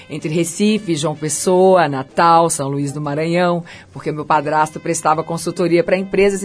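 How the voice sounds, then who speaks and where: A middle-aged woman with a Carioca accent, a chef and restaurant owner talking about her life as a guest on a radio interview, as a lively storyteller. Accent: Carioca Brazilian Portuguese. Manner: lively storyteller, pitch swinging high and low.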